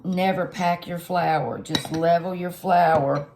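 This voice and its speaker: Slow, hoarse woman teaching baking. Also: fairly low pitch, fairly even intonation, noisy background. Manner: homey, chatty, lots of fillers, addresses viewers directly. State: congested and a little hoarse. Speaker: home cook channel host. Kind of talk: casual cooking tutorial.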